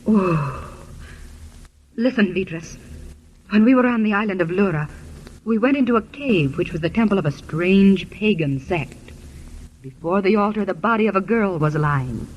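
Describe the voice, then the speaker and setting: A woman's voice: mid-range voice, lively intonation, normal speed, long pauses, natural voice. A woman acting a curious, determined married heroine in a vintage radio drama, with an eager, emphatic delivery. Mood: uneasy, questioning. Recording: muffled, noisy old radio broadcast.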